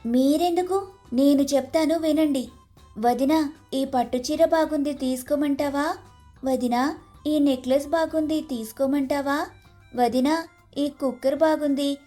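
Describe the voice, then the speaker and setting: A sharp-tongued young wife in a Telugu cartoon moral story , voiced by a woman, pitched high. She sounds aggrieved and sarcastic, with faint music behind her. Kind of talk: animated moral story dubbing.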